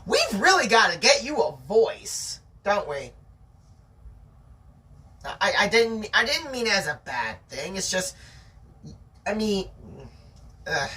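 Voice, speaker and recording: Someone reading a novel aloud in an animated, theatrical way, with chatty asides to the listener. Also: mid-range voice, distant mic.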